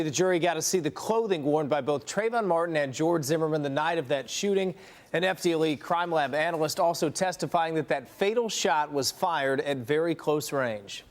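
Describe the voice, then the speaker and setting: An American man anchoring TV morning news in a scripted news-reading style. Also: a little high.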